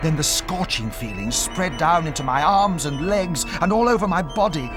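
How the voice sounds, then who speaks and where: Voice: medium pitch, expressive, normal speed, natural voice. A performer playing an astonished boy recounting his own transformation, as vivid first-person audiobook narration. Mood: tense, pained recollection. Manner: dramatic, vivid storytelling. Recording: music behind the voice.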